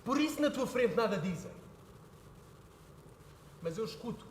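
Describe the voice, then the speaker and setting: A young man playing an earnest son who pleads with his father, building to heated shouting. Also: very high-pitched, lively intonation, a little slow, a little hiss or hum. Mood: pleading. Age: young adult. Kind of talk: stage play performance. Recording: echoey hall with faint ambience.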